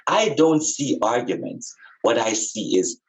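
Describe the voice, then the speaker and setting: A man's voice: mid-range voice, big pitch swings, unhurried, poor audio. A man speaking as a spiritual teacher in conversation, earnest and emphatic, repeating phrases for effect.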